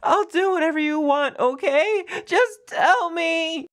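A woman's voice: very high voice, slow pace, a bit bright, heavily acted. A woman voicing a defensive, flustered young half-wolf girl roommate in an audio roleplay, stammering and evasive with a whiny manner. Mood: tearful, pleading.

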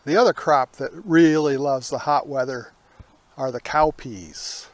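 A man, hosting a casual garden tour in a folksy, unhurried way outdoors. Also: mid-range voice, no echo. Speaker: gardening vlog host.

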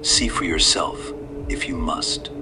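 A man voicing a sinister, smirking old man in a suit, speaking low, slow and hushed, sometimes in a whisper, in a horror story. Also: over music. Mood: soft, coaxing.

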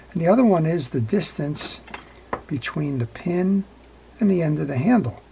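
Gravelly, folksy man at a workbench. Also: medium pitch, darkish tone, a little hiss or hum. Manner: folksy, unhurried, lots of "uh" and "well" fillers. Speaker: reel repair channel host. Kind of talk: hands-on repair tutorial. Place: workbench, indoors.

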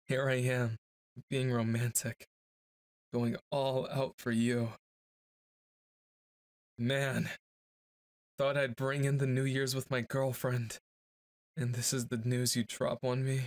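Guy doing a dramatic boyfriend asmr roleplay, talks slow, close mic. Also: medium pitch, level tone, no echo. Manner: speaks to an unseen listener, moody and dramatic.

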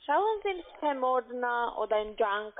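A woman's voice: a little high, sing-song, unhurried. A woman with a foreign accent in German, working as a call center agent and giving instructions insistently and repetitively. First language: not German. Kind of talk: phone sales instructions. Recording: muffled narrow-band phone line.

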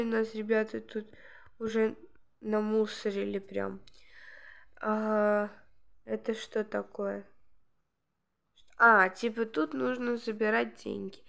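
A woman chatting casually while playing a game, her voice a little hoarse from a cold, rambling with many fillers. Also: a little high. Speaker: gameplay channel host. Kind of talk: casual gameplay commentary.

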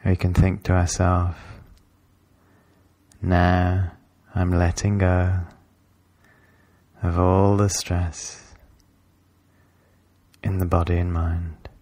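A man leading a guided meditation in a calm, measured, instructional way, with long pauses between phrases. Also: deep voice, no room sound.

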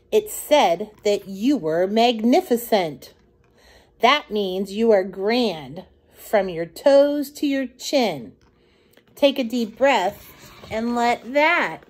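A middle-aged woman reads a children's story aloud. Her voice is fairly high and very lively in pitch. She takes her time, shaping lines in a deliberate, sing-song storytime delivery. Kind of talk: expressive picture-book reading aloud.

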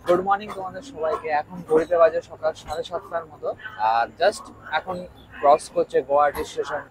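Young man, fast, lively travel-vlog host, clear train rumble behind. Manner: lively, chatty, points things out to viewers. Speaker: travel vlogger. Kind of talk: casual travel vlog narration. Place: train coach and station platform, mostly moving train.

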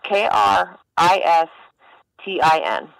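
A woman's voice: lowish voice, sing-song, takes it slowly, gravelly, dry recording. A woman speaking bluntly and informally in a casual phone conversation, as a helpline staffer. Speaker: mental health helpline staffer. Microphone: telephone. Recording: phone call.

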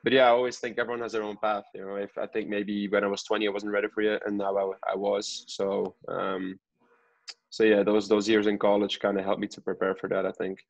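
A man's voice: fairly low pitch, level tone, fairly quick, vocal fry. A man in his twenties from Belgium giving casual interview answers as a soccer player, often hedging with "you know" and "I think". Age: 20s. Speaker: professional soccer player, podcast guest. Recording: echoey room, dull lo-fi sound.

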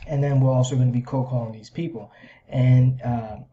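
A man in his thirties talking through a screen-share explainer casually, in a halting, repetitive way as if thinking aloud. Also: mid-range voice, steady tone. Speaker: video host sharing a business plan. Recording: slightly dull, lo-fi microphone sound.

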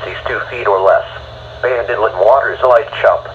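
An American man's synthetic text-to-speech voice reading a weather bulletin in a flat, formal way. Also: lowish voice, moderate pace. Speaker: automated weather radio announcer. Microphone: phone held to a radio speaker. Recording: dull radio broadcast re-recorded by phone, faint noise.

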